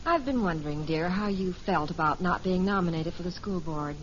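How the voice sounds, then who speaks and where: Woman acting as warm middle-aged mother, lowish lively voice, 1950s radio comedy, muffled recording. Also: a little fast, close to an everyday voice. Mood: warm, curious. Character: warm, attentive sitcom wife and mother. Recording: muffled old radio recording, faint hiss.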